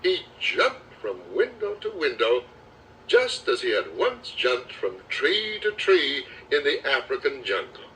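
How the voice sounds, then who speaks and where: Male children's audiobook narrator, slow and lively, dramatic storytelling style, slightly distant cassette sound. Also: mid-range voice, a little hiss or hum. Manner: expressive, dramatic storytelling delivery. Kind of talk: children's storybook read-aloud.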